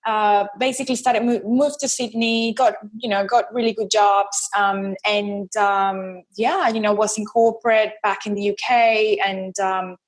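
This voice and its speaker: A woman's voice: mid-range voice, steady tone, measured pace, few pauses. Bubbly Venezuelan-accented woman guest. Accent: Venezuelan Spanish. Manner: bubbly, laughs often, frequent fillers and repeated words. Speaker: podcast guest, business strategist. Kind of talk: casual interview chat.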